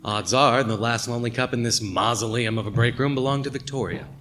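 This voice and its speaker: An actor playing a wry, hard-boiled male detective in a noir radio play, with a dry, deadpan delivery. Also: medium pitch, a little fast, a little hiss or hum, close to an everyday voice. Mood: dry, probing. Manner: dry, world-weary, deadpan. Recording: music behind the voice.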